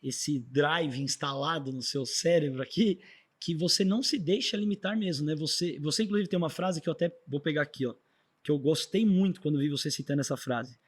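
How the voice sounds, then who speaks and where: Brazilian male host, slightly high voice. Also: brisk. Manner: affirming, encouraging. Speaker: podcast host. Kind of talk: conversational interviewing.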